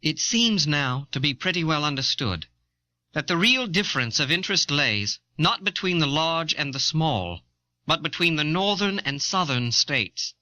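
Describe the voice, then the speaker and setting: A man's voice: fairly high pitch, very animated, moderate pace, bright, forceful tone, no room sound, heavily acted. An actor playing an earnest eighteenth-century American statesman, reasoning formally and oratorically in a dramatised historical quotation on a dull old cassette.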